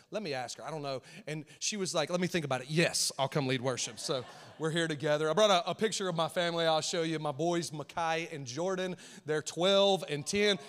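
A man in his thirties with an Alabama accent, a guest pastor opening his sermon with folksy, animated talk to the congregation. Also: on the high side. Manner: folksy, animated, repeats words, rallies the crowd. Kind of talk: sermon opening, casual and energetic. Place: large church auditorium. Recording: long reverb of a large hall.

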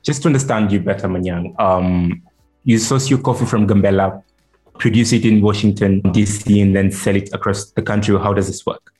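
A man's voice: fairly low pitch, fairly even intonation, normal speed, darkish tone, faint music behind. A man hosting a business podcast, introducing the show and interviewing his guest.

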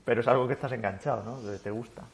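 A man of middling pitch talks through his thoughts in a loose, conversational way. He doubles back on his words and restarts often, with an unhurried, reflective delivery. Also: steady tone. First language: Spanish. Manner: thoughtful, rambling with many restarts. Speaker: guest. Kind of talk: casual interview chat.

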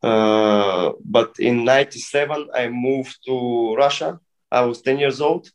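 Man, thirties, halting English, casual guest talk. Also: medium pitch. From Bulgaria. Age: 30s. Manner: halting non-native English with many restarts. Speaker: guest breakdancer. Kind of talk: casual interview.

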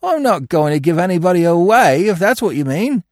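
A man's voice: high voice, wildly swooping pitch, moderate pace, vocal fry, no echo, clean studio sound, voice pushed up, heavily acted. A man voicing a sullen, cunning and cheeky petty thief in an audiobook, with whining, defiant, slangy delivery. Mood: sullen, wary. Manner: whining, indignant, defiant, colloquial grammar. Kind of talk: audiobook character voice.

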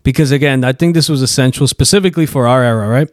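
A man's voice: medium pitch, fast talker, dry recording, clean studio sound. A man from Canada hosting a podcast in casual chat, rambling and profane, with lots of fillers. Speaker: podcast host.

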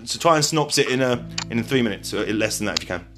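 A man hosts a casual interview at a middle pitch, agreeable and encouraging. He rambles and restarts his sentences as he goes. Faint music plays softly behind him. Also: expressive, fast. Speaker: podcast host.

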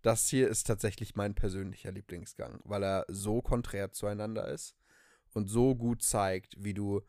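A man's voice: lowish voice, steady tone, normal speed, warm tone, dry recording. Young man, casual podcast chat, dry close sound. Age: young adult. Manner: enthusiastic, slangy with English phrases. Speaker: podcast co-host. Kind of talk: casual conversational storytelling.

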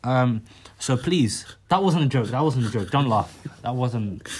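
A man's voice: medium pitch, lively intonation, unhurried, slight background noise. A young man hosting a casual podcast with friends, rambling and repeating himself as he talks. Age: young adult. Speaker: podcast host. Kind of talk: casual chat among friends.

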